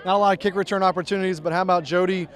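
High-voiced young male sports broadcaster. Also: fairly even intonation, very fast. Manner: upbeat play-by-play phrasing. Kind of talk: sideline postgame interview. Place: outdoors on a football field. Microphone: handheld mic.

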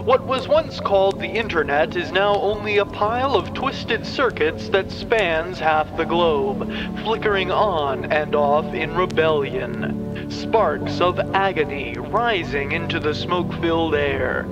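A man reads a scripted dystopian tale as its narrator. His delivery is slow, measured and dramatic, in a slightly high voice, with clear music underneath. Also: dull audio.

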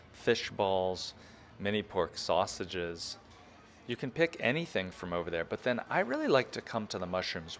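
A man's voice: deep voice, a bit bright, slight background noise. Enthusiastic man giving a casual tour. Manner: enthusiastic, chatty. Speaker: food review vlogger. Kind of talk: guided restaurant tour, casual review.

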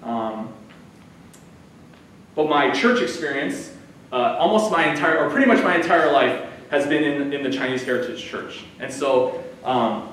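A man's voice: mid-range voice, lively intonation, measured pace, a bit bright, a little hiss or hum. A man in his thirties gives a conversational sermon as a guest preacher, using his hands as he talks. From United States. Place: church sanctuary, indoors. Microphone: far camera. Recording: echoey church hall from far off.

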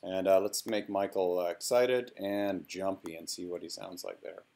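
Low-voiced man giving a casual demo. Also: steady tone, moderate pace, gravelly, a little mellow. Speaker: software demo presenter. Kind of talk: casual screen-recorded walkthrough.

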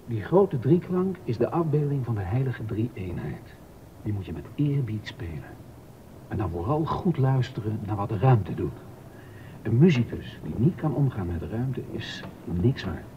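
An actor playing a stern, exacting baroque composer and organist in a docudrama, emphatic and easily indignant. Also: male voice, sing-song, moderate pace. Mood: exacting, instructive. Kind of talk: docudrama radio acting. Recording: dull audio with rumbling noise.

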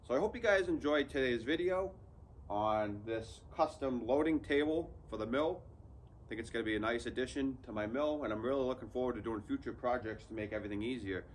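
A man's voice: medium pitch, brisk, slight background noise. Plainspoken man explaining his sawmill setup. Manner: plainspoken, practical, talks to viewers directly. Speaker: channel host. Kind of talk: casual how-to vlog talk. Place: outdoors under an open sawmill shed in woods.

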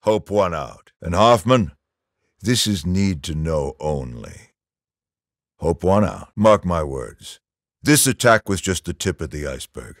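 A stern, middle-aged general for a video game, issuing orders with clipped authority. His pitch moves widely as he briefs his troops, and the recording is close and dry. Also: very animated, unhurried, no echo, full character voice. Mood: grave, firm. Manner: authoritative, clipped military delivery. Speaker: military commander character in a video game.

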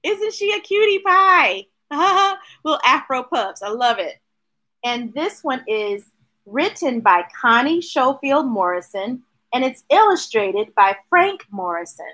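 A woman's voice: high-pitched, measured pace. A middle-aged American woman hosting children's story time, reading a picture book expressively with playful, rhythmic delivery and chatty asides. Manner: expressive, playful, chanting repeated words rhythmically. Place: small indoor room with shelves of books. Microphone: webcam or device at arm's length.

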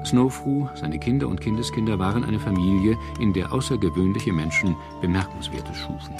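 A man reading scripted documentary narration in a measured, formal manner. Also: on the low side. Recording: music bed under narration. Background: background music.

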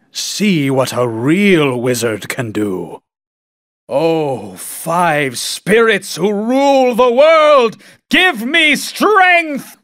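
A man's voice: mid-range voice, a bit bright, no echo, clean studio sound. A man playing a haughty, scholarly sorcerer in a video game, theatrical and declaiming. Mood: grand invocation. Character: haughty scholarly male sorcerer, proud and power hungry. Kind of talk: video game villain acting.

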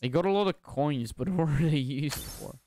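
A man's voice: a little high, moderate pace, noisy background. A young man from Bulgaria, chatting casually as a streamer while playing, often repeating words and asking himself confused questions. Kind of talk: casual gameplay commentary.

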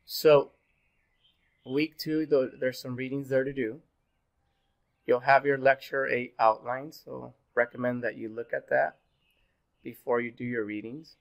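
A man in his thirties, a little sick and hoarse, giving a pre-recorded online class as instructor, relaxed and full of fillers. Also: mid-range voice. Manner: relaxed, filler-heavy, repeats "go ahead". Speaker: university instructor. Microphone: webcam in corner of screen recording.

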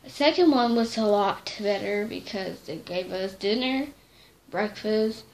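A woman's voice: medium pitch, unhurried, vocal fry, a little mellow, a little hiss or hum, low-quality recording. A young teen girl chatting casually to her camera in a small dim bedroom, rambling and correcting herself as she goes. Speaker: vlogger. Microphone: device camera held close.

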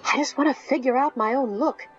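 A woman's voice: high-pitched, fairly quick, dull audio, faint music behind, natural voice. An actress playing an earnest, self-doubting teenage magical girl in a visual novel game, with a hesitant manner. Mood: anxious, frustrated.

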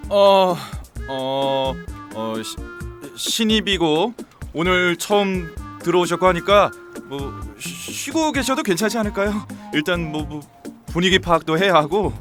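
Nervous office dude, high bright voice, stammers, eager to please, audio drama w music. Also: male voice, animated, unhurried. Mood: hesitant. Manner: flustered, stammering. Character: jittery, eager-to-please male office worker, awkward around newcomer. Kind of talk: Korean audio drama acting.

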